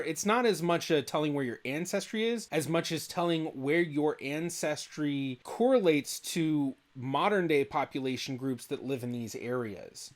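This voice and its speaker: A young adult man, a genealogist, explaining test results in a relaxed, thinking-aloud commentary. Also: a little high. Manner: thinks aloud, hedging with "I feel like". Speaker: genealogist reacting to a video.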